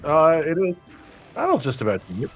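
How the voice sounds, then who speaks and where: A man's voice: a little high, huge pitch swings, normal speed, soft background music. A man co-hosting casual gameplay commentary, wry and quibbling, given to understatement. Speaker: co-host of a gaming video.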